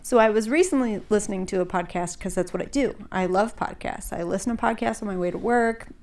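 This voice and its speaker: A young woman hosts a podcast in loose, casual chat, her voice a little stuffed up and congested. She rambles along with plenty of fillers and "like"s, at a middle pitch. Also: brisk, darkish tone. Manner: chatty, rambling with many fillers and likes. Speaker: podcast host.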